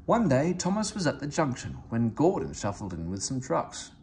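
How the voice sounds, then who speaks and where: A man reading a children's story aloud in an expressive, theatrical manner. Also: medium pitch, moderate pace, slight background noise. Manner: animated, theatrical with character voices. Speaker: storybook reader.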